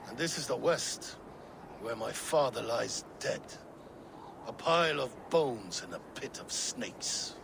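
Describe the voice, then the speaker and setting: A gravel-voiced Viking king, drunk and unwell, speaking slowly and heavily, rising and falling widely as he broods. Loud background noise runs under the game audio. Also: medium pitch, heavily acted. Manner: slow, heavy, mournful storytelling. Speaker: game character. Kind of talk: video game cutscene acting.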